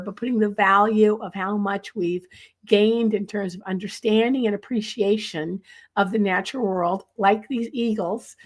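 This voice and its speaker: A middle-aged woman leads a live online nature sketching lesson from a bookshelf-lined room at home. Her voice is hoarse from a chest cold. She rambles, filling her sentences with ums and uhs. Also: mid-range voice.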